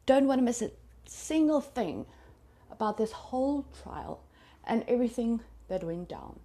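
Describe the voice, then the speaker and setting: A woman's voice: fairly high pitch, animated, a little slow, warm tone. A South African woman whose first language is Afrikaans, telling a true crime story in a chatty, confiding way to her listeners. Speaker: podcast host.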